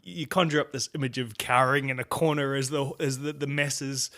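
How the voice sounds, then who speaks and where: Bright-voiced man with a New Zealand accent. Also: mid-range voice, brisk. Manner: cheeky, animated storyteller. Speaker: guest co-host. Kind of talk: casual podcast chat.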